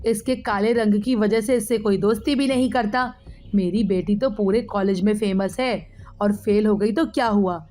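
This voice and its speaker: A woman voicing a spiteful middle-aged aunt who scolds and bosses in a sharp manner, in a moral story. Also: medium pitch, steady tone, moderate pace, close to an everyday voice. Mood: indignant, spiteful.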